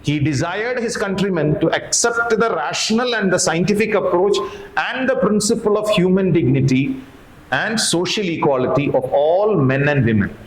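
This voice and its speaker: A man's voice: high voice, animated, normal speed. Indian-accented male lecturer in an echoey room. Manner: didactic, repeats key points and poses questions to listeners. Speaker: history teacher at an exam coaching academy. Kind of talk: explanatory exam lecture. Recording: echoey room with faint background noise.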